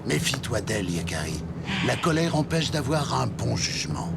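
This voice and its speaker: A man playing a calm, wise Sioux father who gives measured counsel in a French cartoon dub. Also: medium pitch, creaky, over loud music. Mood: wise, gentle. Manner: measured, sententious. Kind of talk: French animated series dubbing.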